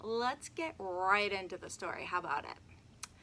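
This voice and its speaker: A woman reading a children's chapter book aloud, addressing young listeners in an expressive storytelling manner. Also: medium pitch, a little slow, a little hiss or hum. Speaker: read-aloud host.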